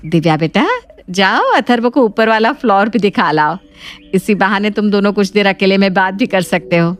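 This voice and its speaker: A woman's voice: medium pitch, moderate pace, slight background noise, natural voice. A woman playing an assertive middle-aged mother in a cartoon family drama, firm and persuasive. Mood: kindly.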